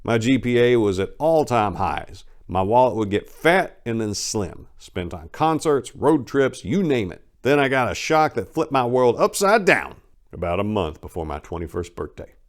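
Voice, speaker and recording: A man's voice: mid-range voice, very animated, moderate pace. A man narrating a first-person story in a dramatic audiobook reading, with a blunt, streetwise, conversational manner.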